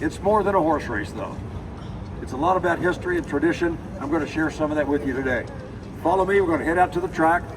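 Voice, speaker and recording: A man, hoarse from a cold, giving a folksy guided walking tour and repeating his key points. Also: fairly high pitch, animated, fast talker. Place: outdoors at a racetrack with a tour group. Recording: distant voice, outdoor noise.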